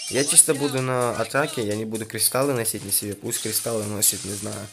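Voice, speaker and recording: A slightly hoarse man with a lowish voice talks through his gameplay in a loose, chatty way, thinking out loud and restarting words now and then. Game music plays clearly behind him throughout. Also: fairly even intonation, fast. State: slightly hoarse from a sore throat. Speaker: gaming YouTuber. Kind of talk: casual gameplay commentary.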